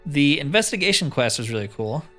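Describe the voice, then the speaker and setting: A man's voice: fairly high pitch, big pitch swings, brisk, sharp tone, faint music behind. A man giving casual playthrough commentary as a gaming host, thinking aloud in short, informal remarks.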